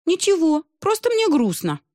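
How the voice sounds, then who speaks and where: A woman voicing a capricious, whiny little mermaid girl with a high, pouty voice. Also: very animated, normal speed, no room sound, studio quality. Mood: sulky.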